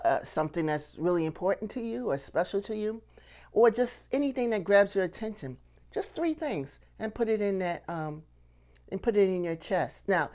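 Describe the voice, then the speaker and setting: A middle-aged woman giving a casual instructional talk to camera, conversational, often asking questions and checking in with the listener. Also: on the low side. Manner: conversational, rhetorical questions and frequent "okay?" check-ins. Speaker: puppetry instructor and channel host.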